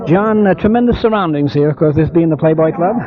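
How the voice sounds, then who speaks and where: A man's voice: a little high, fast. A man hosting a 1970s radio sports interview, speaking in effusive, formal phrases with hesitant questions. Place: busy club. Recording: dull vintage radio recording, crowd murmur.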